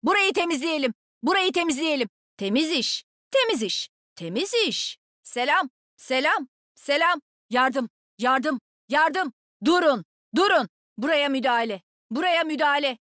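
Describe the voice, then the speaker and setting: Casting: nimble young female game agent. Bright-spirited taunter, very animated delivery, sassy and sure of herself, dry close studio sound. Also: high-pitched, no room sound. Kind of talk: video game combat voicelines.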